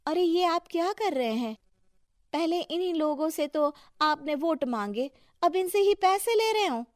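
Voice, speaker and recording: A woman's voice: extremely high pitch, lively intonation, unhurried, dry recording, studio quality, pitched above the performer's own voice. A woman voicing a sidelined councillor's earnest character, a wife who speaks up with reproach in a cartoon story. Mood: shocked reproach. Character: sidelined councillor wife, earnest, finally standing up to her husband. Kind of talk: scripted cartoon story dialogue.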